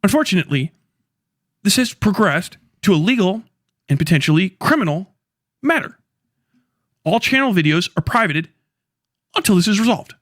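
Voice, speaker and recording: Man, high pitch, creaky edge, bright forceful tone, very animated, dry sound, reading aloud. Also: normal speed, long pauses, no echo.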